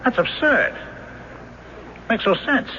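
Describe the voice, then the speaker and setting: An actor playing a blunt, skeptical police lieutenant in a radio drama, terse and probing. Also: male voice, extremely high pitch, lively intonation, slow, sharp tone, full character voice. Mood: baffled. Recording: dull noisy vintage radio drama recording.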